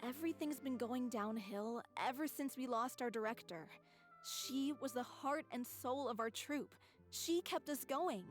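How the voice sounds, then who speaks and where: A woman voicing a devoted, determined young stage actress in a video game, sincere and measured. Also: high-pitched, animated, faint music behind. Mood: wistful. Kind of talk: English video game voice acting.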